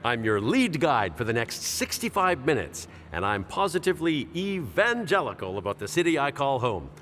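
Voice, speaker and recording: An actor playing a cheerful veteran bus tour guide and relentless dad-joker in a radio play, with a showy, punning delivery. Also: male voice, mid-range voice, big pitch swings, moderate pace, fairly bright tone, slight background noise. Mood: jovial, showy.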